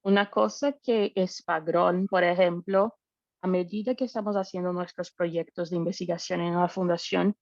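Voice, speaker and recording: Woman, gravelly edge, hesitant webinar panelist, muffled dry call audio. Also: lowish voice, steady tone, moderate pace, darkish tone, no echo. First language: not Spanish. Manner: hesitant, searching for words. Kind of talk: webinar talk. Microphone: video call.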